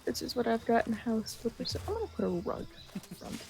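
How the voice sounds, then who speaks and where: Very high-voiced man chatting over a game. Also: very animated, fairly quick, vocal fry. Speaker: let's play commentator. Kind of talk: casual chat over gameplay.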